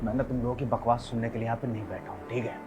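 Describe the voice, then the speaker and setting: A man's voice: mid-range voice, fairly even intonation, rapid-fire, natural voice. Man acting a domineering, scornful angry husband. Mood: cold contempt. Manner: scornful, confrontational, repeats demands insistently. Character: angry, domineering husband insulting his mother-in-law. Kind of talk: melodramatic family drama acting. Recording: dramatic music underneath.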